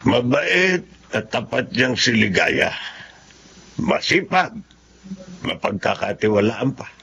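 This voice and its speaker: An actor playing an ailing, kindly, trusting husband in a Filipino radio drama, speaking in a measured way. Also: male voice, mid-range voice, big pitch swings, vocal fry, bright, forceful tone, exaggerated voice. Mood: calm, approving. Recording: slightly muffled with background noise.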